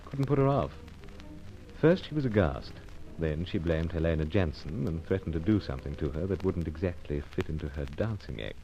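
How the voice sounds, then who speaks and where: An actor playing a suave British secret agent, clipped, terse and wry, in an old radio serial. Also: male voice, a bass voice, lively intonation, moderate pace, warm tone. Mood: narrating. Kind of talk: mid-century radio serial acting with narration. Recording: dull, noisy old radio recording.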